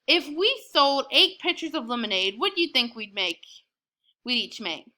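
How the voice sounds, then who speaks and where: A woman's voice: high-pitched, sing-song, moderate pace, bright, forceful tone, heavily acted. A woman reading the part of an enterprising young boy in a storybook, eager and a bit sarcastic. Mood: eager, scheming.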